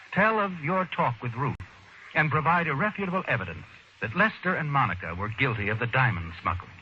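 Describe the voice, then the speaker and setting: An actor as the narrator of an old radio thriller, addressing the heroine in insinuating, dramatic, deliberate phrases. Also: male voice, mid-range voice, slight background noise, lightly acted. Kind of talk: 1940s radio drama narration in second person. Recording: dull old radio recording, loud music behind. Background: dramatic music.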